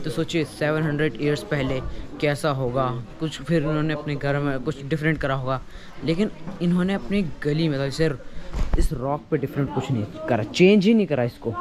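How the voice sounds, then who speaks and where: A man's voice: a little high, moderate pace. A young man walking a village street, chatting to his viewers as a travel vlogger. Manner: chatty, addresses viewers as friends, mixes English words in. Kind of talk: casual walking-tour vlog. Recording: street crowd chatter behind.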